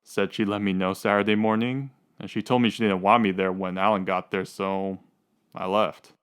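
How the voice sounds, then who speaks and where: A man's voice: on the low side, flat delivery, moderate pace, fairly bright tone, smooth, natural voice. A man playing a dejected, lovesick suspect under questioning in a radio drama, plainspoken and unsure.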